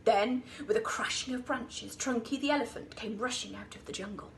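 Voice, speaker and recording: Lady teacher reading a kids story, high voice, really expressive, slight background noise. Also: normal speed, vocal fry. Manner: expressive, dramatic emphasis. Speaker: infant school teacher reading to her class. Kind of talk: animated children's story reading.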